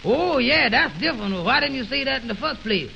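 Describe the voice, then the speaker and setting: A very high, heavily creaky voice plays a naive, puzzled fellow in a 1920s radio comedy. He is slow on the uptake and asks halting questions in folksy, ungrammatical speech. The old recording is dull and faintly hissy. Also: expressive, pushed and bright, heavily acted. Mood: indignant. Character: naive, slow-witted, questioning man, Amos.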